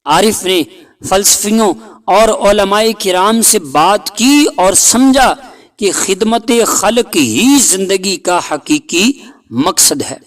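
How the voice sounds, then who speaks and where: A man narrating a scripted folk tale in a deliberate, dramatic storytelling manner. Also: high-pitched, animated.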